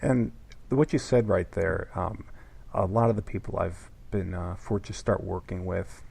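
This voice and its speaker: A man hosting an interview podcast, with a gravelly, husky voice, asking reflective questions. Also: deep voice, moderate pace, darkish tone. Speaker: podcast host.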